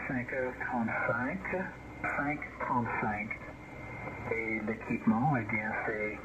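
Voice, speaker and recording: A man making an amateur radio contact over shortwave, repeating key words and figures for clarity. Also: medium pitch. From born in England. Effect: shortwave radio transmission. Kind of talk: amateur radio contact, exchanging signal reports. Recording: muffled band-limited shortwave radio, loud static. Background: loud radio noise.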